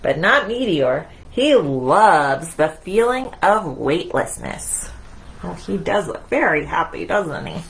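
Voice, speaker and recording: A woman's voice: fairly low pitch, sing-song, slow, vocal fry, rough recording. A woman children's librarian leading a storytime, speaking to young children in an encouraging, singsong way. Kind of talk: children's storytime with movement and read-aloud.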